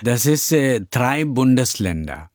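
An older man from India, a pastor answering interview questions in slow, halting German full of fillers. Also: mid-range voice, sing-song, darkish tone, no echo, clean studio sound. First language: Tamil. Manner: slow, searching for words, many fillers. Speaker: pastor and church founder.

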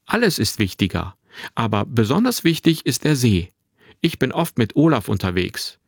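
A man voicing a confident, carefree boy of about twelve in a narrated story, with a clear, bright tone and a forthright manner. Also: medium pitch, big pitch swings, normal speed, dry recording, clean studio sound, full character voice. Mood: cheeky, opinionated. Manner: forthright, unembarrassed, boyishly matter-of-fact. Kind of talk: acted dialogue in a narrated story.

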